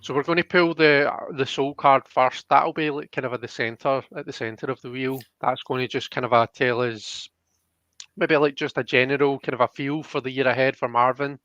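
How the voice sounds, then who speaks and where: Casting: male card-reading host, casual and halting delivery, mid pitch, close dry slightly lo-fi sound. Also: steady tone, a bit bright, no room sound. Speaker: host and tarot card reader.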